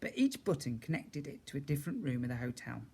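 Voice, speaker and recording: A man's voice: mid-range voice, very animated, fast, creaky, dark tone, slightly rough. A man reading a children's story aloud as a teacher would, expressively, with chatty asides to his young listeners.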